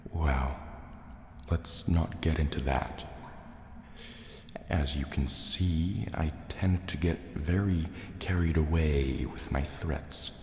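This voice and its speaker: A man voicing a huge, proud, wary sea serpent dragon in a soft-spoken, menacing ASMR roleplay, deliberate and a little hesitant. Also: extremely low pitch, slight background noise, full character voice. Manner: slow, deliberate, threatening yet hesitant. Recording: roomy cave reverb, faint water ambience. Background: water sounds and cave ambience.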